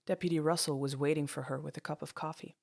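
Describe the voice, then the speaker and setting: A female storyteller with a low voice narrates scripted dramatic fiction. The recording is very dry and close, with hardly any room sound. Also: fairly even intonation, fast, vocal fry, darkish tone, no echo.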